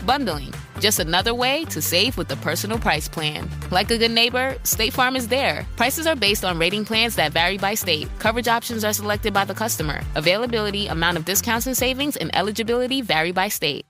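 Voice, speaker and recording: A woman announcer delivers a scripted ad read. Her pitch is medium, and music plays clearly behind her. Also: expressive, a little fast, hardly any pauses, bright, forceful tone.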